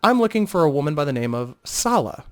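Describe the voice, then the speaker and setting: A man voicing a coy, lively adventurer woman. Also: fairly high pitch, fast. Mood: polite, earnest. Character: flirtatious, playful woman adventurer on a secret mission. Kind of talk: dramatic fantasy audiobook reading.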